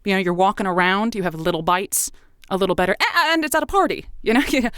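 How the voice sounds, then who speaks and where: A woman's voice: medium pitch, animated, quick pace, a bit bright, clear, resonant tone, no echo, clean studio sound, lightly acted. A woman playing a waffling, people-pleasing radio advice host who keeps hesitating and restarting her sentences. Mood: flustered. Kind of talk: improvised audio drama.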